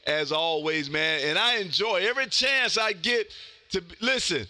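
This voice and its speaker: A man in his fifties speaks in a high-set, piercingly bright voice. He is loud, exuberant and folksy, chatting casually as an amateur radio host working his contacts. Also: moderate pace, no room sound. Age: 50s. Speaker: amateur radio operator hosting a live stream. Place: indoor home radio room.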